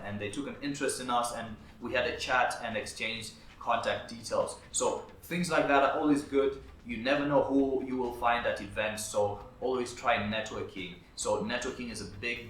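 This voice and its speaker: A man's voice: medium pitch, fairly bright tone, a little hiss or hum. A man whose first language is Swahili, a videographer talking through his business lessons in an earnest, structured vlog. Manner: earnest, structured, advice-giving. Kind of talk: talking-head advice vlog. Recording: roomy echo with faint background music.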